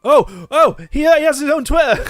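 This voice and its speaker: A man's voice: extremely high pitch, no echo. A man co-hosting a let's play, chatting casually in a giggly, jokey way. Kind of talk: casual chat, gaming commentary.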